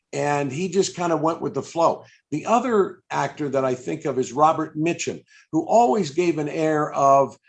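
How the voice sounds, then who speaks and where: A man with a somewhat high-pitched voice interviews someone over a video call. He speaks conversationally and builds long questions, often halting and circling back as he thinks aloud. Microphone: video call microphone.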